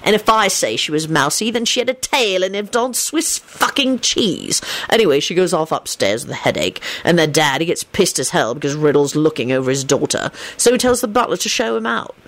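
A woman's voice: sits low, big pitch swings, brisk, no room sound. A woman voicing a cocky young male wizard in an audiobook, telling tales in a smug, dramatic, foul-mouthed way. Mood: snarling, animated.

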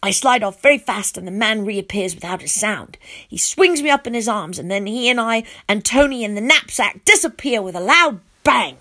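A woman voicing a brave, excitable young child who recounts an adventure in an earnest, emphatic way. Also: mid-range voice, normal speed. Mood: breathless.

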